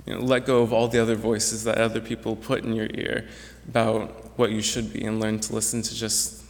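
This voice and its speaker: A man's voice: fairly low pitch, completely flat delivery, a little fast. Earnest young man in a reverberant hall. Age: young adult. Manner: earnest, reflective, with some restarts. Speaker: graduating student speaker. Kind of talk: prepared speech to an audience. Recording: echoey hall with long reverb.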